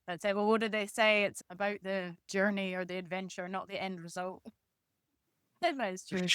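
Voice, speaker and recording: A woman's voice: mid-range voice, level tone, normal speed, fairly bright tone, clean tone, no room sound, clean studio sound. A woman hosting a casual podcast interview in an encouraging, affirming manner. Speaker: podcast host.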